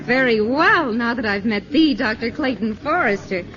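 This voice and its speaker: A woman's voice: on the high side, expressive, moderate pace, fairly bright tone. An actress playing an enthusiastic, insistent young woman in a 1950s radio drama. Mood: eager. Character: eager, admiring young woman graduate. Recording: muffled vintage radio broadcast with crowd noise.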